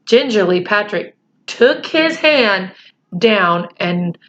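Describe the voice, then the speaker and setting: Brief: woman storyteller, slow and expressive, bright tone, slightly muffled recording. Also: mid-range voice. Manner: chatty asides, expressive reading. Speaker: storyteller, teacher. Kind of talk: reading a children's book aloud with comments.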